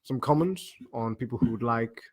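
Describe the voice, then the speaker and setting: A man's voice: mid-range voice, moderate pace. A man hosting a casual livestream chat, playful and teasing, laughing often. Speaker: host.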